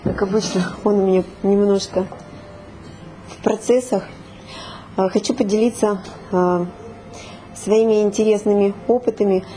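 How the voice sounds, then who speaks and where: Hoarse woman telling a story hesitantly. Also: medium pitch, fairly even intonation, normal speed, long pauses, mellow, slight background noise, rough recording. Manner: hesitant, many fillers. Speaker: participant. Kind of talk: casual storytelling.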